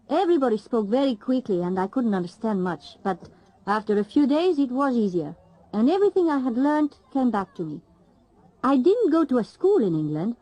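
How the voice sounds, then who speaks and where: A woman's voice: fairly high pitch, warm tone, rough recording. A woman playing an Italian woman in Scotland. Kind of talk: scripted textbook monologue.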